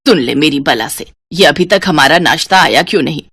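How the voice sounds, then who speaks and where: A nagging older mother-in-law played by a woman in a family story cartoon. She speaks low and close in a dry recording, scolding and taunting with grumbling sarcasm. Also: lively intonation, fairly quick, fairly bright tone, husky, no echo, exaggerated voice. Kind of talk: Hindi moral story cartoon voice acting.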